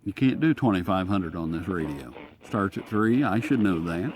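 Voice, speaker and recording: A man's voice: low voice, animated, moderate pace, a little mellow. A man casually demonstrating a gadget hands-on, thinking aloud in a folksy, informal way. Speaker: radio reviewer and host. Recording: clear static and radio noise behind.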